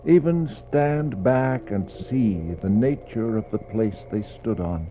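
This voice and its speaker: An actor playing a brooding polar explorer in a 1960s poetic radio drama, speaking in a solemn, declamatory way with dramatic pauses. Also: male voice, fairly low pitch. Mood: troubled wonder. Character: brooding male polar explorer searching for a lost expedition. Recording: dull, noisy 1960s radio recording.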